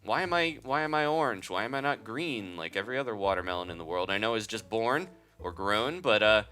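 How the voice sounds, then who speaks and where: A man voicing a gentle, puzzled young watermelon who talks earnestly and hesitantly. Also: fairly low pitch, lively intonation, brisk, smooth.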